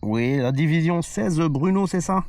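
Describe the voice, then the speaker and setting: A man's voice: on the high side, lively intonation, measured pace. A man who vlogs as an amateur CB radio operator, talking casually and calling with repeated phrases and spelled-out call signs. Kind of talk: casual outdoor vlog and radio calls. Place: outdoors on a hillside by the sea. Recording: loud radio static and hiss.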